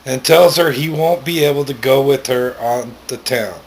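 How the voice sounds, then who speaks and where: A man's voice: mid-range voice, moderate pace, a little mellow, low-quality recording. Man reading a story aloud. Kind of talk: amateur story narration, read aloud.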